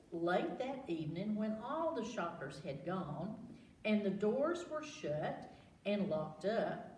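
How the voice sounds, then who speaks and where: A woman leads a children's storytime with very lively, swooping pitch. She takes her time, repeating words and putting questions to her young listeners. She is recorded at a distance in an echoey room with some noise. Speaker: library storytime host. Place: echoing room with children present. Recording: roomy, distant mic with background noise.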